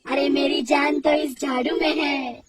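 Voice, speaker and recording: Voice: high-pitched, little pitch movement, distant mic, very noisy, voice pushed up. A performer voicing a greedy, child-eating old witch who cackles and gloats theatrically in a horror storybook tale. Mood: boastful, cackling. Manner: gloating, theatrical, drawn-out words.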